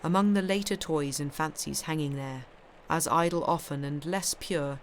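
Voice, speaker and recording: A woman's voice: low-pitched, normal speed, slight background noise. A woman hosting a live stream of festive readings from home, speaking into a streaming microphone. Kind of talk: expressive literary reading aloud; casual live-stream chat.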